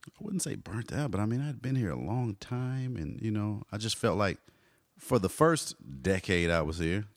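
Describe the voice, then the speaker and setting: A man in his forties, a hip hop artist telling stories in a relaxed interview, dropping "you know" often. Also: fairly low pitch, moderate pace, dry recording. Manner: laid-back storyteller, frequent "you know". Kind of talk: casual conversational interview.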